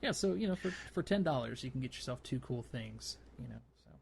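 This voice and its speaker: A man's voice: mid-range voice, lively intonation, a little fast, gravelly, a little mellow. A man hosting a gaming podcast, explaining things in a casual, conversational way, with faint noise behind him. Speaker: podcast host.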